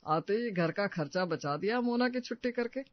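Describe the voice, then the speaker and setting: A man acting an older father-in-law, genial and calming, in a family story. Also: high voice, normal speed, dry recording, lo-fi sound. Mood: admiring. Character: older father-in-law, easygoing, soothing husband.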